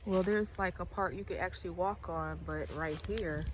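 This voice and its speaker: A woman giving a casual walking tour of her land. She has a cold, clears her throat now and then, and rambles as she goes. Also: on the low side, normal speed, background noise. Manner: chatty, loose and rambling, repeats words. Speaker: farm owner vlogger. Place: outdoors on rural land in the rain.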